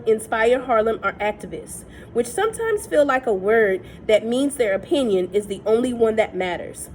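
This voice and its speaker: A woman's voice: on the high side, a little mellow, a little hiss or hum. Woman reading a novel aloud. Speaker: reader.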